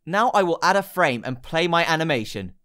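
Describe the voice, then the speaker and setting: A woman reading a scripted step-by-step tutorial in an instructional manner, giving short, clear directions. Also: low voice, animated, a little fast, a bit bright. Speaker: tutorial narrator.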